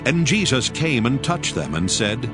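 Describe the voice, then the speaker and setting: A man narrating dramatized scripture in a measured, formal storytelling manner. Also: mid-range voice, very animated. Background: music.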